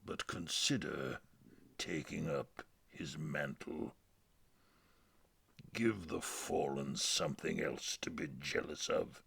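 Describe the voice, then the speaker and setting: A man voicing an imperious, grandiose alien emperor, pompous and husky, in a dramatic game lore reading. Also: extremely low pitch, slow, fairly bright tone, exaggerated voice. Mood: commanding. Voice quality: gravelly, husky. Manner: pompous, ponderous, breathy.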